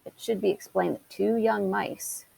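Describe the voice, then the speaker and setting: A woman reading a children's story aloud in an expressive way. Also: mid-range voice, measured pace, creaky, poor audio. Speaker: storybook reader. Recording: hissy background noise.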